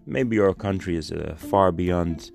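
A Dutch man hosting a podcast, speaking slowly in halting English with many pauses. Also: low-pitched, vocal fry, darkish tone. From Netherlands. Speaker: podcast host. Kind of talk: casual podcast talk and street interview. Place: outdoors at a city square monument.

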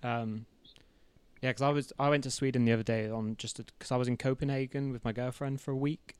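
Smooth-voiced reserved man interviewing. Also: medium pitch, steady tone, quick pace, a little mellow. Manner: reserved, easygoing. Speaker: interviewer, fellow YouTuber. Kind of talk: casual interview chat.

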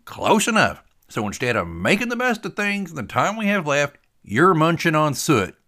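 A man's voice: a little high, big pitch swings, normal speed, sharp tone, pitched above the performer's own voice, exaggerated voice. A man voicing a blunt, breezy boat-owning buddy who needles his friend in colloquial talk, in a narrated story. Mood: needling.

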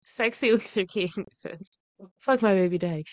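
A woman's voice: medium pitch, normal speed, no echo. A young woman with a stuffy, congested voice from the flu, co-hosting a casual podcast, laughing often and talking loosely. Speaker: podcast co-host.

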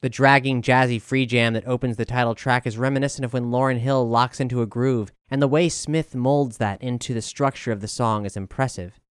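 Clear-voiced man reading a music review. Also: medium pitch, fairly even intonation, brisk, few pauses, no echo, clean studio sound. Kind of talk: scripted narration, music review.

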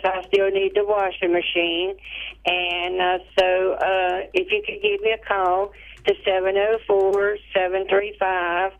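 A woman calling in to a radio show, chatting in a rambling, folksy way over the telephone. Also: mid-range voice. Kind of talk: casual phone chat. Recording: thin narrow telephone line on radio.

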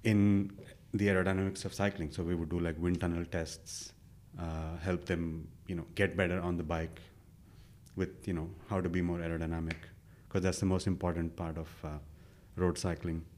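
A man's voice: sits low, flat delivery, normal speed, a little mellow. A young man from India, a guest in an interview, telling his story casually with many fillers and self-quotes.

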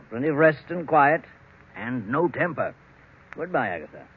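Genial, firm family doctor. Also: male voice, fairly high pitch, very animated, unhurried, creaky, slightly rough, exaggerated voice. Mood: genial, teasing. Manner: chuckling, cajoling. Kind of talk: old-time radio acting. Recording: muffled old radio recording.

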